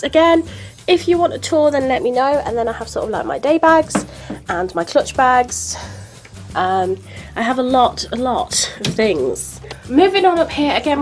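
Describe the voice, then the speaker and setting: A young woman talks in a chatty, gushing ramble, her fairly high voice a touch nasal and stuffed up from hay fever. She wanders through her beauty room at home as she talks. Faint music plays underneath. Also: a little mellow. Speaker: beauty vlogger. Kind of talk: casual room tour vlog.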